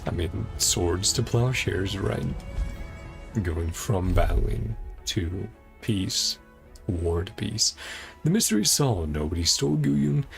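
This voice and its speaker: A man gives casual gameplay commentary in a low, creaky voice. He muses and reads on-screen text in loose fragments. Game music plays clearly behind him. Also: expressive, slow pace. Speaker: streamer playing a video game. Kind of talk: casual gameplay commentary, reading subtitles aloud.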